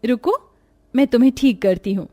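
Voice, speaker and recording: Woman as earnest high-voiced orphan big sister. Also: animated, moderate pace, mellow. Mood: caring. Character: caring orphan girl, eldest sister, earnest and protective. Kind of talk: cartoon story dialogue.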